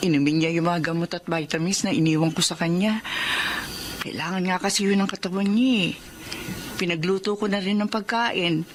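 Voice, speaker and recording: An actress playing a caring middle-aged wife who speaks earnestly and grows worried in a radio drama. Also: female voice, fairly low pitch, fairly even intonation, normal speed, a little hiss or hum, natural voice.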